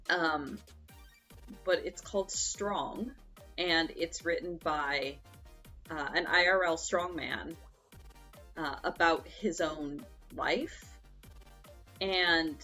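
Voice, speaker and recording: A young woman streamer chatting casually and rambling, her voice stuffed up and gravelly from congestion. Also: deep voice, fairly bright tone. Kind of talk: casual chat while sewing.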